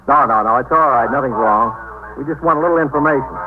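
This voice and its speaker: An actor playing a patient, polite American police desk sergeant in a 1950s radio drama, plain-spoken and reassuring. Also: male voice, mid-range voice, quick pace, close to an everyday voice. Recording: narrow-band 1950s radio recording, faint noise.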